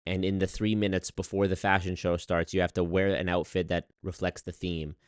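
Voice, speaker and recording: A man telling a story on his livestream in an animated, exasperated way, swearing often and reading chat. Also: low-pitched, fairly quick, clear, resonant tone, no room sound, studio quality. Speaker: streamer. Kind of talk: casual livestream rant.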